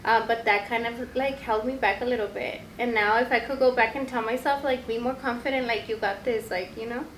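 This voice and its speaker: A woman's voice: a little high, fairly even intonation, a little fast. A woman whose first language is Spanish, co-hosting a casual podcast and reflecting on work, full of "like" and "you know". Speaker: podcast co-host. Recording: echoey room with faint noise.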